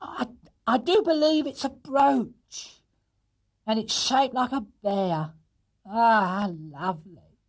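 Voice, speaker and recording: A man voicing a middle-aged housekeeper woman in a children's story, brisk but easily touched. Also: very high voice, voice pushed up, exaggerated voice.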